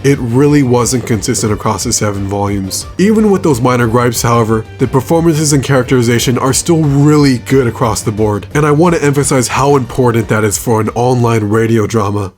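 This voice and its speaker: A man's voice: medium pitch, a little fast, few pauses, a little mellow. Man narrating a video essay over music. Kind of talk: scripted video essay narration with casual asides.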